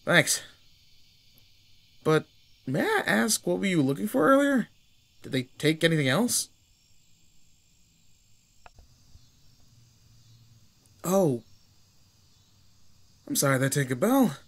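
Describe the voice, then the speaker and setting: A man playing a cocky, teasing teenage delinquent with a soft side, talking casually to the listener in a roleplay audio drama. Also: on the high side, big pitch swings, measured pace, vocal fry, pauses often, heavily acted. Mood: gentle, sympathetic. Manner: brash, casual, slangy teasing. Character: cocky, teasing teenage male delinquent, protective and sweet underneath.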